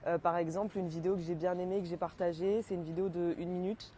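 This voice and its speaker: A teenage girl answering interview questions casually, pausing often with fillers. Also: fairly low pitch, steady tone, dark tone, a little hiss or hum. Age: teens. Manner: hesitant, many fillers.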